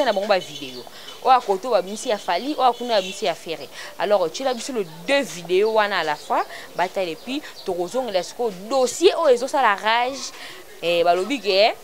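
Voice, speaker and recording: A woman's voice: medium pitch, a little hiss or hum. A woman from Congo, hosting an online celebrity news show in a chatty, casual commentary style. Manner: chatty, mixing French and Lingala freely.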